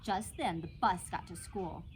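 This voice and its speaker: A woman's voice: fairly low pitch, unhurried, a little hiss or hum. A woman reading a children's book aloud as a storytime reader.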